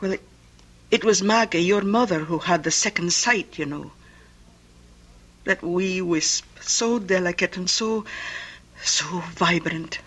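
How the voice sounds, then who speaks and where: Wistful old Scottish aunt, bright-voiced. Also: female voice, lowish voice, unhurried, long pauses. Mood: wistful, regretful. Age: older. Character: fond, lonely old Scottish aunt who held seances. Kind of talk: radio drama acting.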